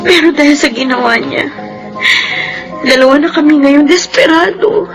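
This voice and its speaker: Actress for young Filipina fiancée, very high voice, distraught and pleading, radio melodrama, music underneath. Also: female voice, normal speed, close to an everyday voice. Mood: bitter grief. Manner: tearful, pleading, emotional outbursts.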